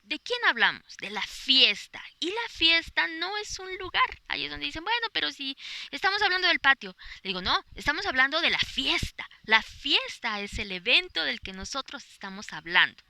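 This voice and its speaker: A woman's voice: high-pitched, very animated, moderate pace, vocal fry, pushed and bright, no room sound. A woman with a Mexican accent, a Spanish teacher giving a podcast lesson outdoors in a park, explaining patiently with many fillers and examples.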